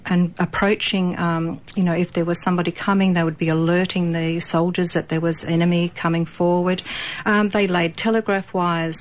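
An Australian woman guest on a radio show, explaining earnestly with frequent ums and restarts. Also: lowish voice. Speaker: pet expert guest. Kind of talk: radio interview.

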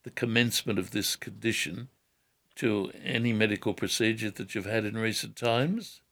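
An older man answering callers on a radio health show, measured and careful, often repeating words and hedging before giving advice. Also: fairly low pitch, fairly bright tone.

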